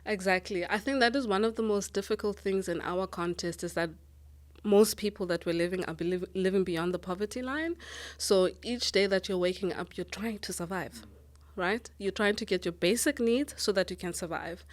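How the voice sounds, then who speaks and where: A woman from Lesotho, a managing director answering interview questions earnestly, often pausing with ums. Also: medium pitch. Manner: earnest, thoughtful, with frequent ums and restarts.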